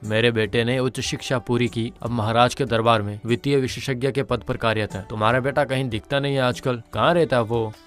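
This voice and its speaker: A man playing a kindly, conscientious middle-aged village merchant in a moral-story cartoon, earnest and measured. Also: mid-range voice, steady tone, a bit bright, soft background music, natural voice. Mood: friendly.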